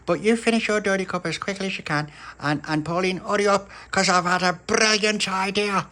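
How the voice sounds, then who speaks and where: A man with a Liverpool accent voicing an eager little boy who stammers and gushes with excitement. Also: high-pitched, a little fast, pitched above the performer's own voice. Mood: excited.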